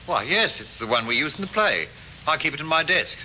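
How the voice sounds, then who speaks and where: An actor playing a mild, courteous theatre stage manager, polite and unassuming, in 1950s radio drama. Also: male voice, a little high, big pitch swings, a little fast. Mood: uneasy, polite. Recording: muffled, noisy old radio recording.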